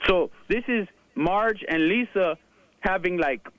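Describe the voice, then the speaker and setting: A man's voice: high-pitched, takes it slowly, fairly bright tone, no room sound, highs cut off. A man playing a boastful young gangster caller on the phone, with a Spanish-influenced Los Angeles accent and drawling slang. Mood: swaggering. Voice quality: drawling slang-heavy street talk, tag 'eh'. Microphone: phone line.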